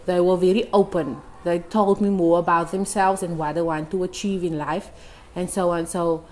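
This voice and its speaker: Soft-spoken young woman tutor. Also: fairly low pitch, steady tone, moderate pace, slight background noise. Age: 20s. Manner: soft-spoken, thoughtful, with hesitant ums. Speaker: community tutor. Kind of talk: unscripted testimonial. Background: music.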